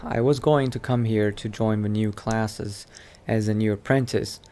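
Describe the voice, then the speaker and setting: A man playing an anxious young mage apprentice in a video game roleplay, talking haltingly and repeating himself. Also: on the low side, steady tone, warm tone, a little hiss or hum. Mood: polite, hesitant. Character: anxious young male mage apprentice fearing he is becoming a vampire.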